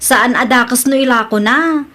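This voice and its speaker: High-voiced, reproachful village woman played by an actress. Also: moderate pace, bright, forceful tone. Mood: indignant, reporting. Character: Juliet, upright neighbor woman scolding a cheat. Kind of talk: Ilocano comedy radio drama acting.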